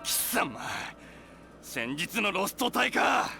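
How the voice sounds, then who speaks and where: A menacing armed patrolman in a visual novel. His voice is full of gravel and swings widely in pitch as he threatens people. Loud music plays behind him. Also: big pitch swings, unhurried, exaggerated voice. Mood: angry, threatening. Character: aggressive armed patrol man, hostile and menacing. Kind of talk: Japanese visual novel voice acting.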